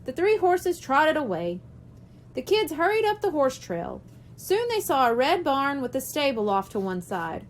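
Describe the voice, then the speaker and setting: A woman's voice: high-pitched, big pitch swings, measured pace. A woman reading a children's storybook aloud as scripted narration. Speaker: audiobook reader.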